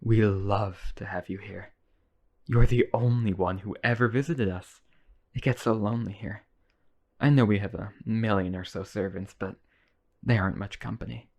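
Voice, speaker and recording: A man's voice: fairly low pitch, steady tone, normal speed, creaky, a little mellow. A man playing a lonely, obsessive, wealthy vampire brother in a boyfriend ASMR roleplay, coaxing and polite. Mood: wistful.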